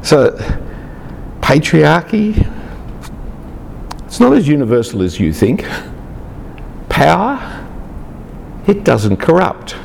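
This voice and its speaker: A man's voice: a little high, sing-song, slow, creaky, long pauses, big-room sound, slight background noise. A man from Australia, giving a lecture to a large group, chatty and teasing the audience.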